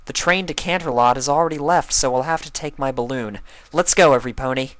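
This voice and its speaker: Man playing an urgent, high-pitched young unicorn mare. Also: brisk, dry recording, close to an everyday voice. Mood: anxious. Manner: urgent, emphatic, pleading. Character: earnest, anxious young female unicorn pony, bossy but caring.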